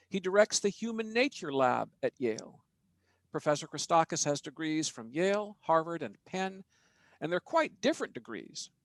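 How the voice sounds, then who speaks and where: Lively, high-voiced male podcast host. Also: no echo. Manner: chatty, quick to laugh. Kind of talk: interview hosting.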